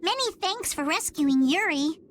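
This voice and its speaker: A woman voicing an earnest, expressive, polite adventurer girl in a video game cutscene. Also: very high-pitched, normal speed, slight background noise.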